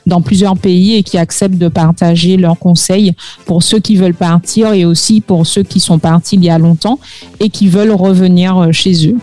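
Mellow-voiced man from Martinique. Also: high-pitched, steady tone, no room sound. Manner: measured, many filler pauses. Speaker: guest, founder of an expat website. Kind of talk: remote interview.

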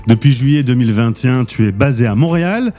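A man's voice: mid-range voice, expressive, fast talker, dark tone. A man hosting a podcast interview, asking questions in a friendly, easygoing way. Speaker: podcast host.

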